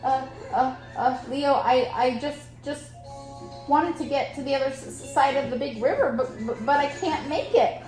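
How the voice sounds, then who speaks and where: A woman's voice: high-pitched, moderate pace, darkish tone, roomy sound, soft background music, lightly acted, low-quality recording. A woman voicing a small, timid male mouse who stutters nervously. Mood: scared.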